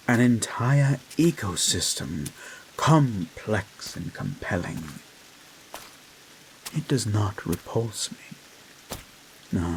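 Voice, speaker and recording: A man playing a creepy, obsessive hypnotist therapist in a dark guided-meditation roleplay, speaking in a hushed, deliberate, poetic way. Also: low voice, slight background noise, exaggerated voice, rough recording. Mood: tender, ominous. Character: creepy, obsessive male hypnotist therapist, tenderly menacing, unshakeable.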